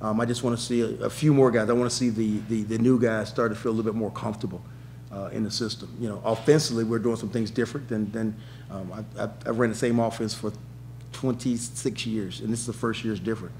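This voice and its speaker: A middle-aged man fielding questions as a head coach, rattling through answers at a quick clip. He repeats himself and restarts often, pressing his points with emphasis. A faint hum sits under his table mic. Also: fairly low pitch, fairly even intonation, darkish tone. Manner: rapid, repetitive restarts, emphatic. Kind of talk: post-game press conference answers. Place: press room with backdrop.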